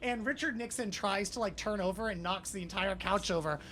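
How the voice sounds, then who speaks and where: A man's voice: sits high, a little fast, heavy background noise. A man working as game master, improvising narration for a tabletop role-playing game.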